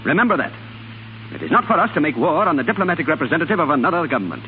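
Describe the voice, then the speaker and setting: A young Irish leader of a cause, resolute and commanding. His pitch moves widely in stirring 1930s radio style. The sound is a thin vintage broadcast with a faint noise bed. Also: big pitch swings, racing, narrow, tinny audio. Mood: firm, commanding. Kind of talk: 1930s radio drama acting.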